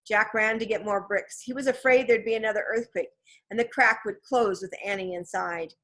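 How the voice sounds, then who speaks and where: Woman narrating a children's story, expressive. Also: medium pitch, moderate pace. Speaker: storybook reader. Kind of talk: scripted narration of a children's novel.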